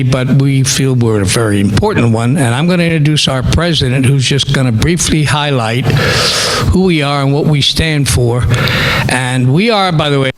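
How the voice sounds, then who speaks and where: A man's voice: mid-range voice, a little hiss or hum, low-quality recording. A man from a nonprofit with a big voice, giving chatty introductions. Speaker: nonprofit representative. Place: community center hall.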